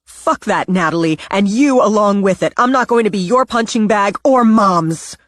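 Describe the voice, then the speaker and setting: Woman doing a sharp, defiant young woman. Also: lowish voice, moderate pace, dry recording, natural voice. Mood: cutting. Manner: sharp, sarcastic. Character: young woman, cutting, defiant, proud sister.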